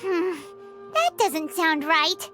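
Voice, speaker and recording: Game pixie sidekick, girlish and excitable, extremely squeaky high voice, very animated. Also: drawn-out words, heavily acted. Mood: puzzled. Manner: squeaky, cute, speaks of self in third person. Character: tiny childlike female pixie sidekick, blunt and excitable. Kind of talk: video game voice acting. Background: loud game music.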